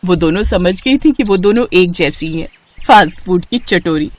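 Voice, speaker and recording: A woman's voice: lowish voice, lively intonation, moderate pace. A woman narrating a scripted moral story for children.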